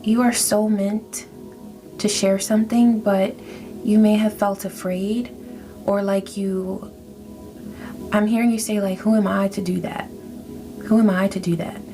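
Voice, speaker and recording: A woman's voice: mid-range voice, flat delivery. Soothing woman giving a tarot reading. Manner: soft, affirming, drawn-out phrasing. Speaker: tarot reader. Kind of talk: spiritual tarot reading to camera. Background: background music.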